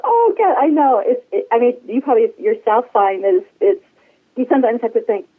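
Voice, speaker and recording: A woman's voice: fairly high pitch, animated, a little fast, slightly rough. A woman in her sixties chatting casually as an interview guest, effusive and rambling, over a telephone. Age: 60s. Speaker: podcast guest, flight attendant. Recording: tinny phone-line sound.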